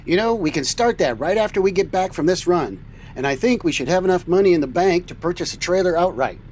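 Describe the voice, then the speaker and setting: A man's voice: high voice, lively intonation, fast, a little mellow, slight background noise. A man playing an easygoing, enthusiastic trucker captain who hosts a semi-scripted radio-serial roleplay. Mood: upbeat, easygoing. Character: upbeat male space-cadet style trucker captain. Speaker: host.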